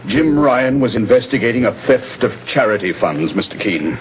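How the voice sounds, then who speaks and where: A man's voice: mid-range voice, big pitch swings, normal speed, lightly acted. An actor playing a tense, guilt-stricken middle-aged businessman in a 1940s radio drama, strained and agitated. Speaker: suspect. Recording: dull lo-fi old radio recording, faint hiss.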